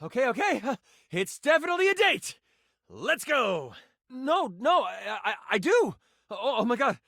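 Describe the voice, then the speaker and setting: A nerdy video game romance character with a high voice that leaps wildly in pitch. He is theatrical, putting on knightly flourishes and slipping into flustered, casual awkwardness. The sound is dry and close. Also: big pitch swings, a little slow, gravelly, fairly bright tone, no echo, full character voice. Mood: excited, flustered. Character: theatrical, nerdy, flustered datable game character who role-plays chivalry. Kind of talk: animated video game voice acting.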